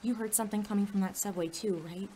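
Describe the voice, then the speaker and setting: A woman's voice: medium pitch, fairly even intonation, fast, warm tone, slight background noise, close to an everyday voice. A woman playing an anxious, sarcastic young sidekick in an audio drama, both in dialogue and first-person narration, with a wary, dry manner. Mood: nervous protest. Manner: wary, dry, sometimes whispering.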